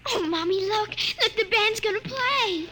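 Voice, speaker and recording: A woman playing an eager, wide-eyed little girl in an excitable, pleading 1950s radio drama style. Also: very high-pitched, a little slow. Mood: excited, pleading. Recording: old radio recording with music.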